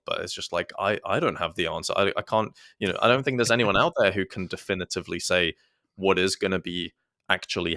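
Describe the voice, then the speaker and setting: A man's voice: deep voice, pushed and bright, no room sound, studio quality. A man who is a guest on a podcast, talking in a thoughtful, hesitant way with many restarts. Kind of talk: casual podcast conversation.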